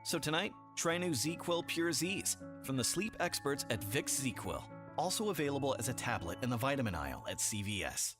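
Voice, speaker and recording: A man voices a radio commercial with lots of movement in his pitch, persuasive and lively. Light music plays underneath. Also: normal speed. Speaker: ad announcer. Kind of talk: scripted commercial read.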